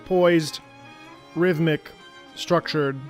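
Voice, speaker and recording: A man's voice: a little high, fairly even intonation, extremely slow. A man giving analytical live commentary on a video game and its music, as a reactor.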